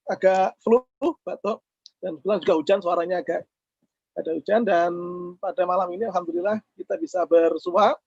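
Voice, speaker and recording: Hoarse male teacher with a high, gravelly voice, measured delivery, dry and muffled call sound. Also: steady tone, dry recording. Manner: measured, recites Arabic passages. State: hoarse from a cold. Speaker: Islamic teacher. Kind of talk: religious lecture.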